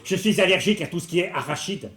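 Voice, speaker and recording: A man's voice: high-pitched, fast, a bit bright, slight background noise. A man acting in a comedy sketch, naive and earnest, with a stuffy cold.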